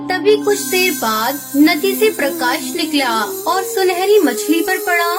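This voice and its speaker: A woman narrating a scripted children's story. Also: very high voice. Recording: music bed under the voice.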